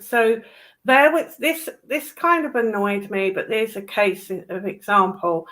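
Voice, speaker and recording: A woman's voice: on the high side, fairly bright tone, rough recording. A woman with a British accent giving blunt, opinionated commentary in a casual conversation.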